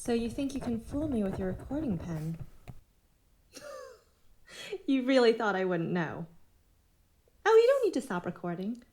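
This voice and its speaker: Audio drama villain: actress as controlling woman leader, taunting, highish voice, lively intonation. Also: low-quality recording. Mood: mocking. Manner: taunting, deliberate, assured. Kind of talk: fiction podcast drama.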